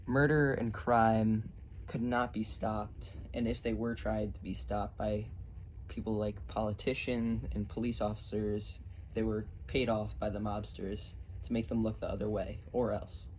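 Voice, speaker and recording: Male presenter, lower-pitched, slightly halting read of a factual script, faint noise on an amateur recording. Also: flat delivery, a little mellow, rough recording. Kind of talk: scripted narration in question-and-answer form, read aloud.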